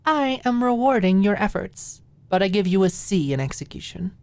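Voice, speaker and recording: A woman's voice: medium pitch, big pitch swings, normal speed, darkish tone, no room sound, pitched above the performer's own voice. A woman voicing a playful, confident young woman with a giggly, teasing manner in an audiobook. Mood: teasing, pleased. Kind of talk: audiobook character dialogue.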